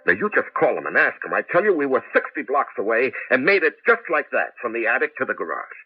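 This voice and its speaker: An excitable, halting cab driver with inventor's zeal in a 1980s radio drama. His fairly low voice swings freely in pitch. The dull broadcast recording carries a light noise. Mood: excited, urgent. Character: excitable male taxi driver and amateur inventor.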